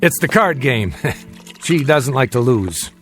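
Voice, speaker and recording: A steady family father guarding a secret in a radio drama. His mid-pitched voice is measured but emphatic, moving up and down for weight, with music beneath. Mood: guarded.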